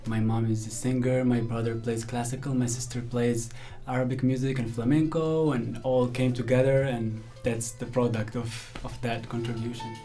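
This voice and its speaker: A young man from Palestine, a musician, speaking reflectively in a documentary interview with frequent pauses and fillers. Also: mid-range voice, flat delivery, warm tone, soft background music. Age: young adult.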